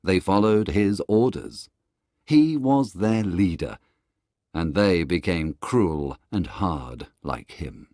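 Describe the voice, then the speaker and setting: A man's voice: low-pitched, expressive, slow, dark tone, no echo, clean studio sound. A man reading a scripted children's tale as its storybook narrator.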